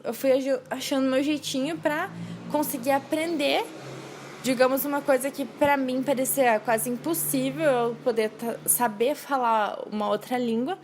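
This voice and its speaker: A woman's voice: high-pitched, steady tone, moderate pace, a little mellow, slight background noise. A young woman hosting a casual solo podcast, talking earnestly with frequent hesitations and restarts. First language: Portuguese. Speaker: podcast host.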